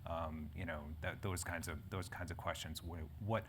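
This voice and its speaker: Low-voiced man moderating a live panel. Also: steady tone, normal speed, a little hiss or hum. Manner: rambling, self-correcting, frequent fillers. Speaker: panel moderator and editor of a health publication. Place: conference event in front of an audience.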